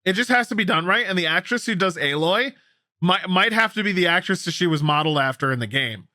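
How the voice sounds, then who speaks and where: A man with a fairly high, very bright and forceful voice hosts casual gaming news. He speaks emphatically, often doubling up on phrases, with an easy sweary bluntness. The sound is dry and close. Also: animated, fast, dry recording. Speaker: gaming news show host. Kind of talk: casual news commentary with co-host.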